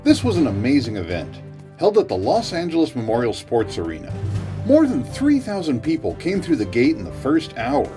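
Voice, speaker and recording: A man's voice: medium pitch, huge pitch swings, normal speed, music in the background. A man in his forties hosting an event vlog with upbeat, effusive narration and interviewing. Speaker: host. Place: busy sports arena expo booth.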